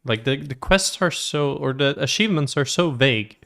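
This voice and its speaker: A man's voice: medium pitch, expressive, moderate pace, a bit bright. A man streaming a video game, chatting casually as he comments on play. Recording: game music behind voice.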